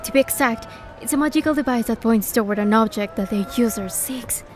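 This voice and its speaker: A woman voicing a bold, curious young heroine, with expressive storytelling delivery in a fantasy game. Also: a little high, a little fast, soft background music, close to an everyday voice. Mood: confident, curious. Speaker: fan dub voice actor and narrator. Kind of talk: scripted first-person fantasy game narration and dialogue.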